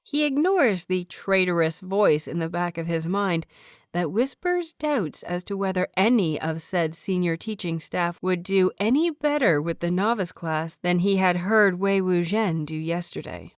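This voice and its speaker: A woman's voice: lowish voice, animated, measured pace, dry recording. Woman narrating a story, close dry sound. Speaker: audiobook reader of fan fiction.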